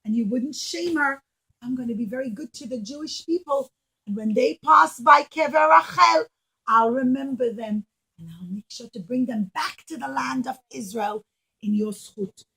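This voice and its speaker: A woman teaching as an animated storyteller for children, speaking simply and emphatically and putting questions to her listeners. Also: sits high, normal speed, husky, poor audio.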